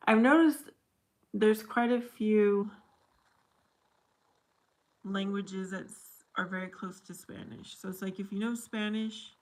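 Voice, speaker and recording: Slow-talking woman, easygoing. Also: mid-range voice, fairly even intonation, a little mellow, poor audio. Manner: unhurried, chatty, mixes in Spanish phrases. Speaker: livestream host. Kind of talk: casual livestream chat with viewers.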